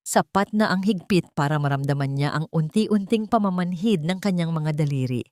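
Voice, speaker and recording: A woman with a slightly low voice narrates a dramatic audio story. The delivery is scripted storytelling, and the sound is dry and close. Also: lively intonation, moderate pace, a little mellow, dry recording.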